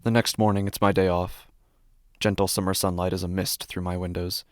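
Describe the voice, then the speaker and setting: A man performing first-person horror narration as an uneasy city dweller, in hushed, deliberate, short phrases. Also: deep voice, level tone, no room sound, close to an everyday voice. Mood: calm, reflective. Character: uneasy city dweller recounting a creepy homecoming. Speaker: narrator of a horror fiction podcast.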